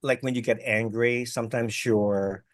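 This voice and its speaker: A man's voice: mid-range voice, fairly even intonation, moderate pace, no room sound. Guy hosting a zoom interview, rambly, trips over his words, joking around, dry close sound. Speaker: interviewer, host. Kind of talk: casual interview chat. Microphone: video call.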